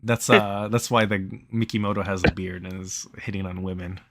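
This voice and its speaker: A man's voice: fairly low pitch, fairly even intonation, fairly quick, a bit bright, no room sound. A man hosting a playthrough, reading the game's story aloud and commenting casually on it. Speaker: let's play host reading the game's text aloud.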